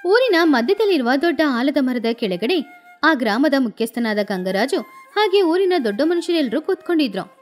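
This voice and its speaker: A woman narrating a scripted moral story with expressive, animated delivery. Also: high-pitched, moderate pace, soft background music.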